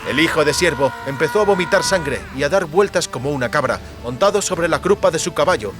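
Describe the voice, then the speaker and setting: A male narrator reads fantasy fiction in a dramatic, scripted style. His voice is a little higher than most men's, and faint music plays behind him. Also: animated, a little fast. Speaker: audiobook narrator.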